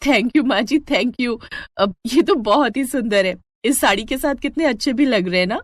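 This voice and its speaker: A woman's voice: a little high, moderate pace, dry recording, clean studio sound, natural voice. A woman voicing a sweet, obliging young wife in a family story, polite in manner. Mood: pleased.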